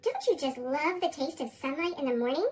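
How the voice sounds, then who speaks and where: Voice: sits high, very animated, brisk, voice pushed down. Storybook leaf student, performed by a narrator: chirpy young male show-off, American, chipmunk effect, swooping intonation. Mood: breezy. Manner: showy, chirpy. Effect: sped-up chipmunk voice effect.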